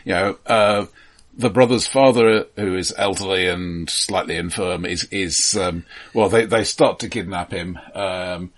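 Low-voiced man, halting and wry. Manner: halting, repeats words as he goes. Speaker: film podcast co-host. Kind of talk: casual film discussion.

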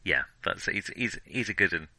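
A man's voice: deep voice, expressive, moderate pace, vocal fry, sharp tone, no room sound. A man co-hosting a podcast, discussing films casually in a hesitant, discursive way that often corrects itself. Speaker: podcast co-host.

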